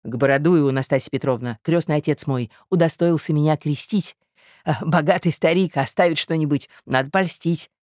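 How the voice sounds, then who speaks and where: A woman playing a naive young gentleman suitor in a story, earnest, polite and easily flustered. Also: deep voice, normal speed, no echo, studio quality, natural voice. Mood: cheerful.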